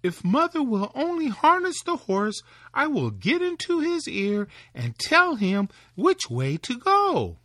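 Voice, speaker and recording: Tiny bright-voiced boy hero, eager and clever, swooping high; a man reading a storybook voices him. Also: takes it slowly, no room sound, studio quality, exaggerated voice. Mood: confident. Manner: high, excited, calling out.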